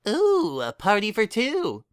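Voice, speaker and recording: A narrator voicing an excitable, chatty young female cartoon party pony with a squeaky, girlish, bubbly delivery. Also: slow pace, no echo, clean studio sound. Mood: gleeful. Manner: bubbly, gushing, emphatic.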